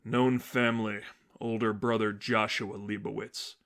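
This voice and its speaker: A man voicing a stern, brooding masked vigilante mentor, speaking in a grave, terse, low-key way in a story reading. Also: flat delivery, measured pace, a bit bright.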